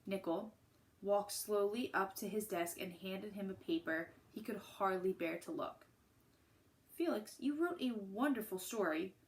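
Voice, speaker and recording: A woman teacher reading a picture book to children and pausing to put questions to her listeners. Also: medium pitch, low-quality recording.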